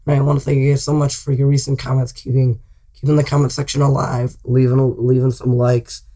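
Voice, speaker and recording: A teenage boy hoarsely vlogging to camera from his bedroom, rambling with lots of restarts and fillers. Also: mid-range voice, level tone, brisk, warm tone. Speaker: channel host. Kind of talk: casual vlog talk to camera. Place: small cluttered bedroom, indoors. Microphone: desk mic in front of him.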